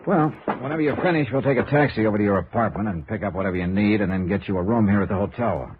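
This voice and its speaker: An actor playing a tough, wry insurance investigator in 1950s radio noir, clipped and dry. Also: male voice, fairly low pitch, fast talker, noisy background, close to an everyday voice. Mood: cool, guarded. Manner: clipped, hard-boiled, dry. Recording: dull vintage radio recording, faint music.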